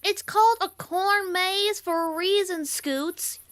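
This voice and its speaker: A woman's voice: extremely high pitch, takes it slowly, fairly bright tone, no room sound, studio quality, heavily acted. A woman voicing a stubborn, uneasy young country pony filly in a fan audio drama. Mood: huffy, matter-of-fact.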